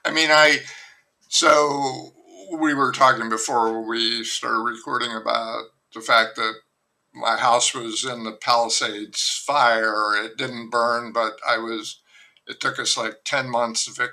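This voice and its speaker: A man speaking slowly in a gravelly, very bright voice. He talks as an author in a casual interview, hesitant and rambling, often restarting his thoughts. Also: medium pitch, steady tone, low-quality recording. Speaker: novelist guest.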